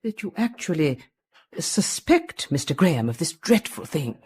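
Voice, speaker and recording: Breathy, anxious genteel woman. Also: low-pitched, big pitch swings, darkish tone, heavily acted. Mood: distressed. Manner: halting, agitated, polite. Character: anxious, genteel, hesitant woman seeking discreet reassurance. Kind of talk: British radio detective drama acting.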